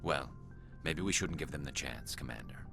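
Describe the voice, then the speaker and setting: An actor playing a frustrated, earnest and intense alien soldier loyal to the crew in a video game. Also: male voice, rumbling low, normal speed, creaky, music in the background. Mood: grimly determined.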